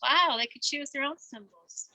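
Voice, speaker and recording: A woman's voice: sits high, normal speed, bright, forceful tone, poor audio. A woman co-hosting a story time, giving brief, casual and appreciative reactions for children. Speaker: co-host listening to the story.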